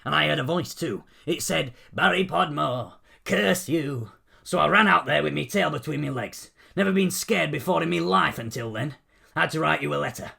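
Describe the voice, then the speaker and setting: Audiobook comedy uncle, male actor: middle-aged, boozy and blunt, bright raspy voice, slangy delivery. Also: on the high side, moderate pace, heavily acted. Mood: aggrieved, shaken. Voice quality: raspy, gravelly. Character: Barry Podmore, gruff brash boozy middle-aged uncle.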